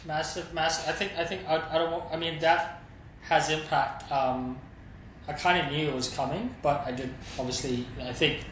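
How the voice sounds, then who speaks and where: A man's voice: on the high side, moderate pace. A man, a streaming executive, answering interview questions in a candid, thoughtful way, thinking aloud as he goes. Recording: roomy echo with steady background noise.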